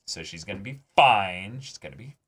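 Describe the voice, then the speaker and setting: A man with a fairly low voice speaks in casual discussion as a reaction show co-host. He thinks out loud in halting phrases and leans on profanity for emphasis. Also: lively intonation, slightly rough. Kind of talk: casual anime episode discussion.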